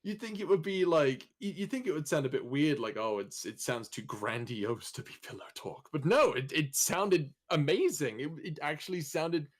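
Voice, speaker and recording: A man's voice: on the high side, moderate pace, few pauses. A man talking casually as a voice actor on a panel, earnest and enthusiastic, feeling his way through sentences. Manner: earnest, enthusiastic praise, hesitant phrasing.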